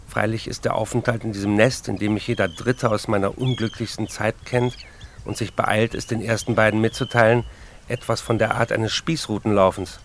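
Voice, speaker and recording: A man's voice: fairly low pitch, steady tone, brisk, slight background noise, lightly acted. An actor playing a besotted, restless writer in a radio play, reading his letters aloud with dry, precise, literary phrasing. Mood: dry, wry.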